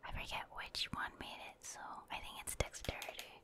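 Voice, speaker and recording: Female gaming ASMR host: fully whispered, breathy delivery, casual rambling commentary as she plays. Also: moderate pace. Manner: casual, rambling, thinking aloud.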